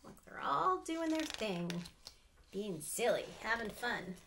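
Middle aged lady doing storytime for kids, slow pace, singsongy, voice goes up and down a lot. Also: on the high side. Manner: expressive, sing-song, asking the listeners questions. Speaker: aunt reading a picture book to children. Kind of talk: animated read-aloud storytelling with casual asides. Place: small room at home with sloped ceiling.

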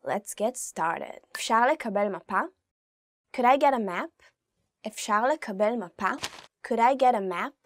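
A woman's voice: fairly high pitch, a little fast, no room sound. A young woman with an Israeli accent, a native Hebrew speaker, teaching a language lesson informally to camera. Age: 20s. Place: indoor studio.

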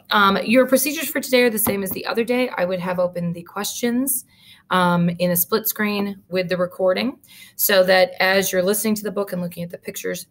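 A middle-aged woman teacher reading a storybook aloud, with deliberate, explanatory asides. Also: fairly low pitch. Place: small indoor room with photo-covered wall.

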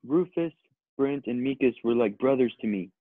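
A man playing a dim, vain, earnest male model in a comedy table read, speaking solemnly and haltingly. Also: mid-range voice, animated, dry recording, close to an everyday voice. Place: indoors at home on a video call. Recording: narrow, tinny phone-like call audio.

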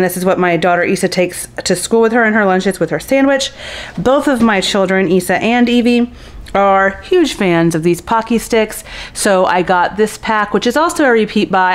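A middle-aged woman with a medium-pitched voice chats informally as a vlogger. She thinks aloud in a conversational way, recorded on a camera microphone in a kitchen at home. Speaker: vlogger, host of a grocery haul. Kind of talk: casual chatty haul narration to camera.

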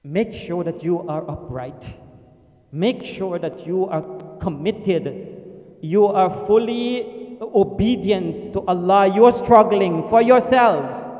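An older man preaching in a mosque hall, his voice pitched fairly high yet mellow in tone. He speaks earnestly, repeating key phrases and slowing down to press them home, into a stand mic. The hall rings with a long, echoey reverb. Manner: earnest and emphatic, repeating phrases, slows for stress. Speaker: imam giving Friday sermon. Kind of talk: sermon. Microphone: stand mic at a lectern.

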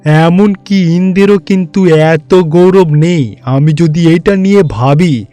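A man's voice: a little high, takes it slowly, dark tone, no room sound, faint music behind. A man voicing a vain, boastful god of wealth in a theatrical cartoon fairy-tale style. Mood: proud, gloating. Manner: pompous, gloating, theatrical. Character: boastful, vain rich god of wealth, fawning before superiors. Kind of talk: Bengali animated fairy-tale voice acting.

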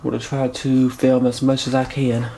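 Man narrating softly on a noisy camera. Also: completely flat delivery, normal speed. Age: late 20s. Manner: low running commentary to camera. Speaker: paranormal urban explorer. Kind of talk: solo night exploration vlog. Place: abandoned house at night, indoors. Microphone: handheld camera mic. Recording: noisy handheld camera audio.

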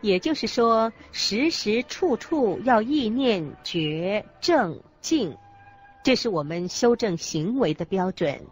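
A solemn Buddhist explainer speaks slowly and emphatically over soft music in a radio drama. Her intonation is lively, and the recording is slightly dull. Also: mid-range voice. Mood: solemn, emphatic. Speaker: co-presenter in a radio lecture drama. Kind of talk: scripted radio drama dialogue, expository. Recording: dull lo-fi audio with background music.

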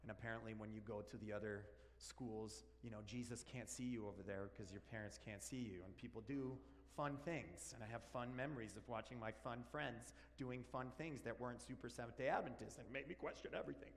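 A man's voice: mid-range voice, fairly quick, few pauses, slight background noise. Spanish-native man preaching in a hall. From Argentina. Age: 40s. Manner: animated and joking, walks around stage. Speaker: pastor. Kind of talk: sermon. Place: large church sanctuary. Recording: long church hall reverb.

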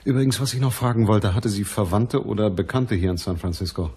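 A persistent private eye in a radio crime drama. His voice is low and husky, and he narrates and questions in a courteous, measured, matter-of-fact way. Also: warm tone. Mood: businesslike. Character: male private detective, businesslike, courteous, persistent. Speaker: narrator and lead actor.

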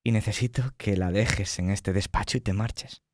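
A man playing a menacing, persuasive villain who bargains calmly in slow, measured phrases for a tabletop horror game. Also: fairly low pitch, no echo, natural voice. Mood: coaxing menace.

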